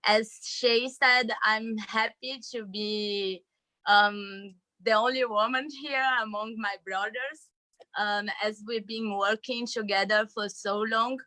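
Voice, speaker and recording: Woman on a video call, talks slow, bright clear tone, bit hesitant. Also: medium pitch, steady tone, dry recording, poor audio. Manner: soft, hesitant with ums. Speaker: panel speaker. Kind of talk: panel self-introduction.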